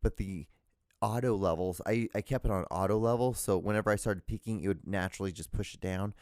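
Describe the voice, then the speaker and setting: A man hosting a solo podcast, rambling loudly with many fillers and restarts into a handheld digital recorder. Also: lowish voice, a little fast, dry recording, studio quality. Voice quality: loud voice. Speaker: podcast host.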